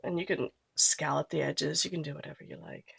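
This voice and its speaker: A woman's voice: sits low, fairly quick, creaky, slightly rough. A woman in her thirties with a cold that makes her sound nasal, chatting casually through a craft tutorial and trailing off as she works. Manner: relaxed, chatty, trails off while working. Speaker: scrapbooking vlogger.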